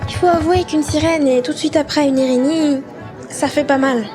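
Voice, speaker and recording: Man playing high-pitched, excitable young heroine. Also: normal speed. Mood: chatty, anxious. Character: excitable, jealous young female companion of the heroes. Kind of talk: amateur audio comedy adventure acting. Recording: voice under prominent music.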